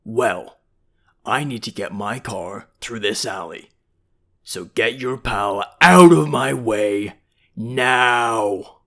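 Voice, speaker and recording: A man playing a suspicious, bossy police officer in a comic dub, curt and gravelly. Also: lowish voice, slow pace, fairly bright tone, heavily acted. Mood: demanding. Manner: curt, demanding. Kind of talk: comic book dub acting.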